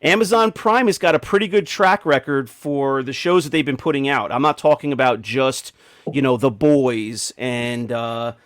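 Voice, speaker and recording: A man's voice: medium pitch, moderate pace, dry recording. A middle-aged man hosts a casual group discussion in an everyday mid-range voice. The sound is dry and close, with very little room to it. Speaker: podcast host. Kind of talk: casual panel chat.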